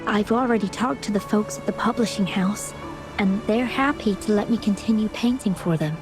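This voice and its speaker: An actress playing a frail, gentle but resolute ailing painter in a video game, with a soft, breathy delivery. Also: female voice, medium pitch, normal speed, over music, close to an everyday voice. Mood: wistful, resolute.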